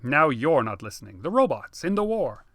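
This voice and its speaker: A man voicing an animated, insistent man who frets about the future in an audiobook. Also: on the high side, moderate pace, no echo, studio quality. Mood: exasperated. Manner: insistent, emphatic, exasperated. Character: man obsessed with future, agitated, animated talker.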